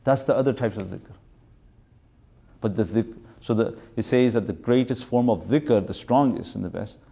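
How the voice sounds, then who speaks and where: A man's voice: lowish voice, normal speed, gravelly, warm tone, husky, lo-fi sound. A man of fifty giving an informal religious lecture as a teacher, rambling through anecdotes and mixing in Arabic and Urdu terms. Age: 50s.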